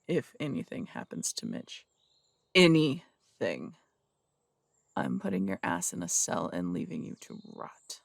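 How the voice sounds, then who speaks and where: A man playing a gravelly stern cop. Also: mid-range voice, wildly swooping pitch, measured pace, dry recording, studio quality, exaggerated voice. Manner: blunt, warning, threatening. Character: stern adult male cop who runs the morgue. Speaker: detective. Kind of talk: audio roleplay drama.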